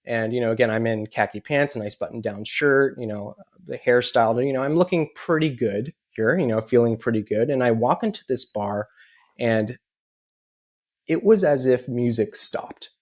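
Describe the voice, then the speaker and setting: A man's voice: medium pitch, normal speed. Earnest American man telling a story. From United States. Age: adult with children. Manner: earnest, many "you know" fillers. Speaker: podcast guest. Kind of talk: personal storytelling.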